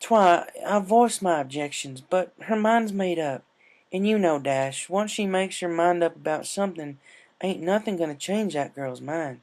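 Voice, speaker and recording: A man's voice: sits high, lively intonation, measured pace, darkish tone, pitched above the performer's own voice. A man voicing a stubborn, caring cartoon farm pony, a mare with folksy country phrasing. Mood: casual, resigned.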